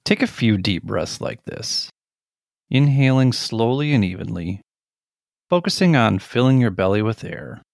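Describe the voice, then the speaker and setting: A middle-aged man leading a guided meditation in a gentle, deliberate manner with long pauses. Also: mid-range voice, lively intonation, creaky, dry recording, clean studio sound.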